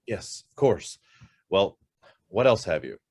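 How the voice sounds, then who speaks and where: Guarded middle-aged businessman in a radio play, acted by a man; lively, swinging intonation, clipped and haunted by guilt. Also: low voice, slow, slightly rough, exaggerated voice. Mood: curt, impatient. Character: guarded, brusque investment firm boss hiding a guilty past. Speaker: actor. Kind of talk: radio drama acting.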